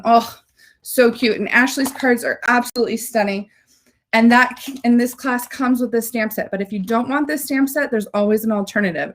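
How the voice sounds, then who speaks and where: Woman host, low-voiced and chatty, gushes with enthusiasm through a casual livestream. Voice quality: low-pitched voice.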